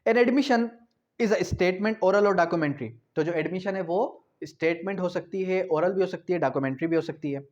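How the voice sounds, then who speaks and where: A man's voice: sits high, normal speed, darkish tone, dull audio. A man teaching law in an informal, explanatory way, repeating key points and mixing in English terms. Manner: switches between Urdu and English terms. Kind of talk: informal exam-prep lecture.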